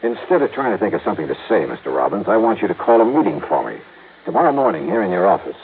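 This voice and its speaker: A man's voice: low-pitched, sing-song, rapid-fire, slight background noise. An actor playing a wry, wisecracking male insurance investigator who narrates his own case in a radio drama. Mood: brisk. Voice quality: dry, wisecracking. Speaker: lead and narrator. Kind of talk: 1950s radio drama acting. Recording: muffled old radio broadcast with music.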